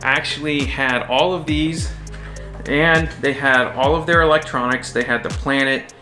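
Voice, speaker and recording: An American man in his forties from Texas giving a casual, halting but enthusiastic product overview to camera. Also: medium pitch, steady tone, sharp tone. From Texas, United States. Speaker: audio shop presenter. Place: small indoor room, standing behind display table. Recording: roomy echo, faint music.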